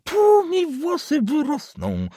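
A man voicing a cranky old male official who rants in a shrill, slow voice. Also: huge pitch swings, husky, dry recording, studio quality, heavily acted. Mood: furious. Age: older. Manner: shrill, slow, shouting tirades. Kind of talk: audiobook character voice.

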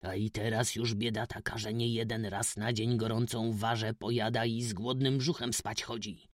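A man voicing a gentle, sorrowful village wanderer who speaks up for the poor in subdued, plaintive rustic speech. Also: lowish voice, level tone, normal speed, dry recording, clean studio sound, lightly acted. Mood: sorrowful, pleading.

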